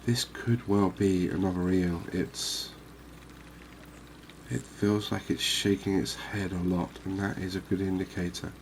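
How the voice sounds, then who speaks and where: Slow, low-pitched man doing ASMR game commentary. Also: steady tone, a little hiss or hum. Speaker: host of a gaming series.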